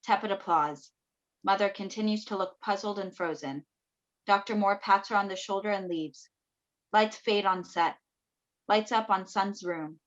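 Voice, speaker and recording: A woman's voice: medium pitch, normal speed. Matter-of-fact woman narrator, dull audio. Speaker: stage directions reader. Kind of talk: scripted narration. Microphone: video call microphone. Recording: dull lo-fi video call.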